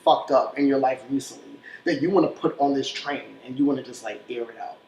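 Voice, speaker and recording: A man's voice: medium pitch, fairly quick, vocal fry, a little mellow. A man hosting a casual interview, informal and slangy, with easy swearing and frequent words of agreement. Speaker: show host and DJ. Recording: echoey room, faint background noise.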